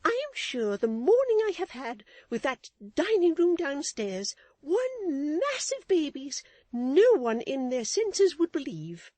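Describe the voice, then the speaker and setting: A woman's voice: very animated, slow, few pauses, mellow, no room sound, full character voice. A woman voicing a middle-aged wife who scolds her husband with withering, self-righteous indignation. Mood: indignant. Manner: high-pitched, withering, sharply emphatic.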